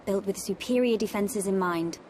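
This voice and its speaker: A woman's voice: medium pitch, normal speed, background noise, close to an everyday voice. An actress playing a poised, dutiful young noblewoman in a video game, formal and reserved. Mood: matter-of-fact. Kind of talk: video game cutscene acting.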